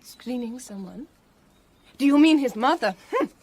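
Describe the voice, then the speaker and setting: A desperate devoted young lady, high voice, pleading melodrama, radio play. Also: lively intonation, a little slow, a little mellow, slight background noise. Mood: suspicious. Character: distraught, devoted young Frenchwoman, quick to accuse. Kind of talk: radio drama acting.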